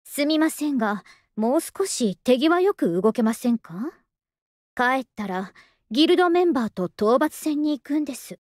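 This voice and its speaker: A woman playing a lazy, polite, softly affectionate young masked soldier in an anime game, with an understated, languid delivery. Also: sits high, big pitch swings, moderate pace, no echo, studio quality. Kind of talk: Japanese anime game voice acting.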